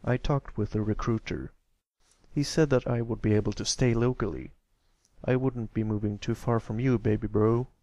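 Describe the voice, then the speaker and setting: A man voicing a warm, easygoing adult stallion, an affectionate and reassuring big brother in a storybook reading. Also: fairly low pitch, steady tone, normal speed, dry recording, highs cut off, close to an everyday voice. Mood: earnest.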